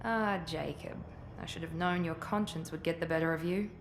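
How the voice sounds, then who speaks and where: A self-assured covert operative in a video game cutscene. She has a low female voice and speaks in a haughty, businesslike, clipped way. Music is clear behind her. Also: steady tone, normal speed. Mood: cool, haughty.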